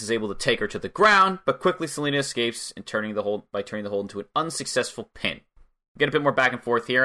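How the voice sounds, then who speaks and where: A man co-hosting a casual podcast, recapping wrestling matches in a wry, relaxed way and swearing freely. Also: lowish voice. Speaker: podcast co-host.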